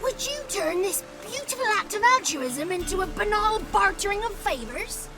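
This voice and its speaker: A mischievous little godling boy from a fantasy game, high-voiced and swooping widely in pitch. He is theatrical and wordy, relishing every florid phrase, with music playing underneath. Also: lively intonation, moderate pace. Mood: florid, cheeky. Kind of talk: video game voice acting.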